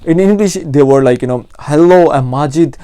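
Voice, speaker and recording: Mellow male language tutor with Pakistani accent. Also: a little high, clean tone, no echo. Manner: explanatory, repeats phrases slowly for learners. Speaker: language teacher and channel host. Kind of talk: informal language lesson.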